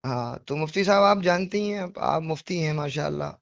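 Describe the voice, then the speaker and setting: A man with a somewhat high voice talks over a dull, lo-fi phone recording. He is apologetic and insistent, justifying himself in earnest conversation, often repeating his point and asking questions he expects agreement to. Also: brisk, creaky, no room sound. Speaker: devotional poetry reciter on a phone call. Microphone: phone call.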